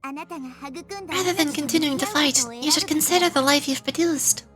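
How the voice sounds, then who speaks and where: Woman reads young game goddess: high voice, calm, wise, formal and earnest. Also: soft background music. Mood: firm, reasoning. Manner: measured, formal, earnest reading.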